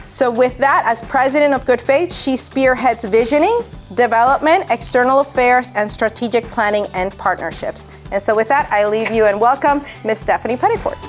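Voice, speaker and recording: A woman's voice: on the high side, normal speed, faint music behind. A woman reading out a prepared introduction for a guest speaker.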